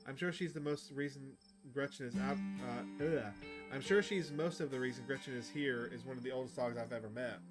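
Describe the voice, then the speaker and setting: A man's voice: medium pitch, fairly quick, music in the background, natural voice. A man voicing a chatty, upbeat outdoorsy woman who hunts cryptids, reading visual novel dialogue aloud. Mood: chatty, fond.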